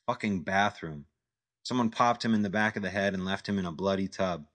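A man's voice: deep voice, fairly quick, fairly bright tone. A man voicing a brash, talkative male ex-actor in over his head.

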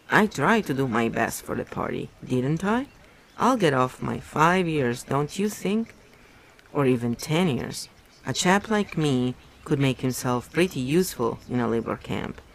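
A man's voice: mid-range voice, creaky, low-quality recording. Casting: man voicing a scared, servile, pudgy middle-aged prisoner, pleading and blubbering.

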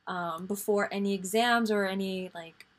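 A woman in her twenties hosting a podcast, chatting casually about faith in a soft, rambling way full of fillers. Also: medium pitch, steady tone, moderate pace, crowd noise. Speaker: podcast host.

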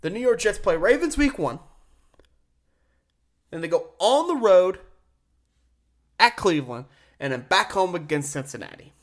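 A man's voice: high-pitched, animated, a little slow, pauses often. Emphatic male sports podcast host. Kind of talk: solo sports commentary, conversational.

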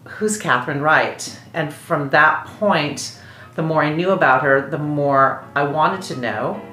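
An American woman tells a personal story for an interview in a very low-pitched voice. The room around her adds a clear echo. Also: a little slow, bright, forceful tone, soft background music. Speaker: opera librettist, interview guest. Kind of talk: anecdotal interview recollection. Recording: roomy, echoing interview sound.

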